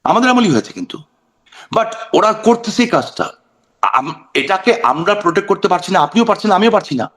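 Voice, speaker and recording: A man's voice: sits high, big pitch swings, fairly quick, a bit bright. A Bangladeshi man giving impassioned political talk in an interview, emphatic, asking rhetorical questions, repeating phrases and mixing in English words. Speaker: political guest on a talk show.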